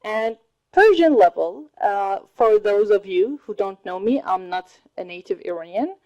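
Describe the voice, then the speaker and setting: A woman in her thirties whose first language is Arabic speaks slowly and deliberately to a college audience. Her pitch sits at a middle level, and she laughs now and then between points. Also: lively intonation, lo-fi sound. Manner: slow, deliberate, laughs often. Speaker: student speaker. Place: college hall.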